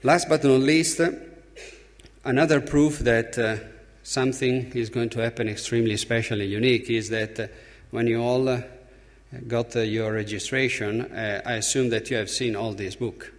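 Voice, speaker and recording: Male conference speaker, Italian accent, emphatic and halting, mid-pitched voice in a hall with long reverb. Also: steady tone. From Italy. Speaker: speaker at a symposium opening. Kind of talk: conference speech.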